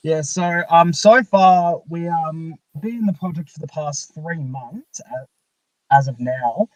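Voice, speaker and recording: A young man hosting a casual live stream chat in a halting, hesitant way. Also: a little high, a little mellow, no room sound, rough recording. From Australia. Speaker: live stream host and fan-dub project leader.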